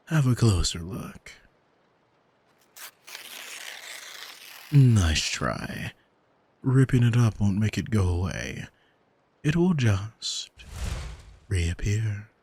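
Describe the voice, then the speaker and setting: A man playing an arrogant demon in an ASMR audio drama, smug and teasing, speaking deliberately and intimately to the listener with a husky voice, close on the microphone. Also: on the low side, darkish tone, exaggerated voice. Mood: amused, mocking. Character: arrogant male demon who owns a soul, smug, taunting. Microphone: close studio microphone, dry.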